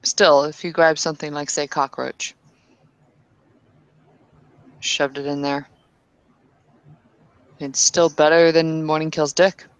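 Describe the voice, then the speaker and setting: A woman's voice: sits low, little pitch movement, slow, long pauses, clean tone, no echo, rough recording. A woman playing a blunt, impatient woman in an in-character tabletop roleplay, speaking in hesitant bursts that turn opinionated. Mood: questioning.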